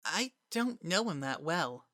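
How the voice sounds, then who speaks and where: An earnest young boy acted by a woman, pitched a little low and recorded very dry. He is kind and anxious, speaking in plain, halting phrases within a dramatized story. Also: very animated, a bit bright, no echo, exaggerated voice. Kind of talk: dramatic reading of fan fiction.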